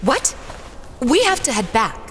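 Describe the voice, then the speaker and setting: A woman playing a proud, stubborn expedition leader in an adventure audio drama, speaking in a dry, brisk, commanding and teasing way. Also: a little high, big pitch swings, a bit bright, slight background noise. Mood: indignant, firm.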